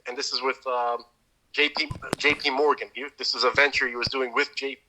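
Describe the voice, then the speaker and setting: A man's voice: mid-range voice, little pitch movement, a little fast, bright, forceful tone, a little hiss or hum, rough recording. A man co-hosting a podcast, telling a long story in casual chat, with many restarts. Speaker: podcast co-host.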